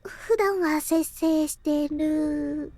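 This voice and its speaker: A woman's voice: high voice, steady tone, slow, dark tone. A woman voicing an anxious, self-conscious teenage girl in a visual novel, hesitant and stammering as she talks to herself. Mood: nervous self-reassurance. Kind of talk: Japanese visual novel voice acting.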